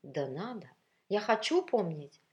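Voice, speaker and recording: A woman's voice: medium pitch, big pitch swings, measured pace, voice pushed up. A woman voicing a tired, elegant woman who pleads plaintively and insistently with her man, in a story reading.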